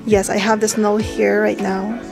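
A woman's voice: medium pitch, little pitch movement, moderate pace, gravelly, music in the background. A woman in her thirties chatting to her viewers in a casual travel vlog, talking into a handheld camera. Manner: chatty, addresses viewers as guys. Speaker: travel vlogger. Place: hotel room indoors, then balcony outdoors. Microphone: handheld camera at arm's length.

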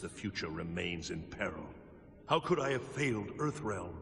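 A solemn, wise thunder god in a game cutscene. He speaks weightily in a low register, in a long reverberant hall with music behind him. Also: big pitch swings, moderate pace, creaky, a bit bright, exaggerated voice. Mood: dismayed. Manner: grave, formal, weighty. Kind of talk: video game cutscene acting.

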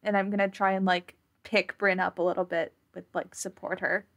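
A woman's voice: fairly low pitch, level tone, brisk, a bit bright, muffled recording. A woman playing a tabletop roleplaying game, tentatively narrating and speaking for her character in a casual way.

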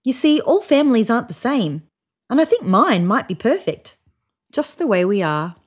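A woman in her thirties reading a children's picture book aloud in an expressive, child-directed way. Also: on the high side, moderate pace, breathy edge. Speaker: storybook reader. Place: small room indoors, seated on a couch.

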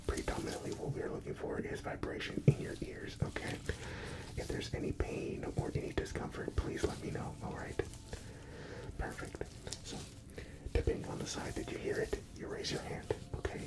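A man's voice: a little fast, rough recording. Whispering young man, ASMR doctor roleplay. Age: young adult. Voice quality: soft, breathy whisper. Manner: gentle, reassuring, instructing. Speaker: ASMR performer playing a doctor. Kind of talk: ASMR medical exam roleplay. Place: small room indoors, set up as an exam office. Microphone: close ASMR microphone.

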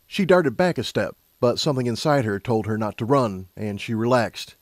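A man reading scripted fiction aloud as an expressive story narrator. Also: medium pitch, normal speed, no echo, clean studio sound.

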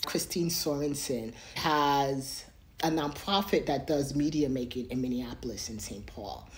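A woman from Nigeria, raised in New York, speaks with a New York accent in a low voice. She is chatty and expressive, often checking in with "you know what I mean". She joins the call from a room at home. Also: unhurried. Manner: conversational, lots of "you know what I mean", bursts of laughter. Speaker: guest filmmaker. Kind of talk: podcast interview.

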